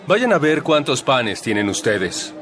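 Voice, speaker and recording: A slow, calm, gentle holy teacher. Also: male voice, medium pitch. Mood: calm authority. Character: Jesus, calm, gentle, authoritative male teacher. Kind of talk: dramatized audio Bible acting.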